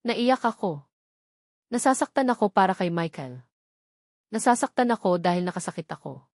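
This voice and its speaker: A woman's voice: medium pitch, animated, normal speed, dry recording, clean studio sound. A woman storyteller giving scripted first-person romance narration in a dramatic, sentimental manner.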